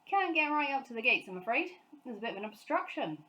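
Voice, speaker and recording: Woman as storybook London taxi man, working-class, chatty, matey, concerned, animated. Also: high voice, fast, pitched above the performer's own voice, full character voice, rough recording. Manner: matey, colloquial. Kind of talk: children's storybook character voice.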